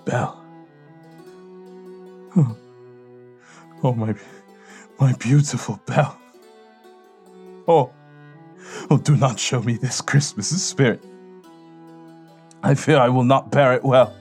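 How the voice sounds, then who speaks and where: Theatrical older gentleman, mid-pitched voice. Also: slow pace, long pauses, soft background music. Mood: anguished. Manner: theatrical, repeats himself, exclaiming. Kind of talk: audio play acting.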